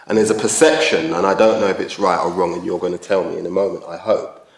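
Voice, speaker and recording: Deep gravelly man chairing a panel. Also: steady tone, fast. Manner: wry, rambling questions with restarts. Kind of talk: panel discussion moderating. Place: large formal hall. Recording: roomy hall echo.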